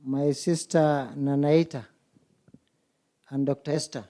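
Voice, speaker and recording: A man from Kenya speaks at an education symposium, his voice pitched a little high with a warm, mellow tone. He moderates the session by firing rhetorical questions at the audience. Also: little pitch movement, slow pace. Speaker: conference moderator. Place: conference hall.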